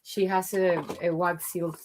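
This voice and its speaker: A woman with a deep alto voice, chatting as a guest and telling lively stories. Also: unhurried, slight background noise, low-quality recording. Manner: lively, animated storyteller. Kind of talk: casual chat.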